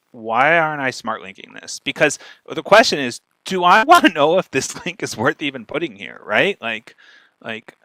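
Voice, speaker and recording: A man with a slightly high, bright voice talks as a guest expert in a close, dry recording. He explains casually and emphatically, often checking that the listener is following. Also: no room sound. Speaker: guest speaker, podcast marketer.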